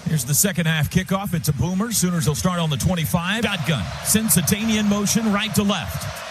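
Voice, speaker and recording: A man doing live radio play-by-play of college football, calling action in short, urgent, excitable phrases that swell into shouts. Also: high-pitched, fairly quick, music in the background.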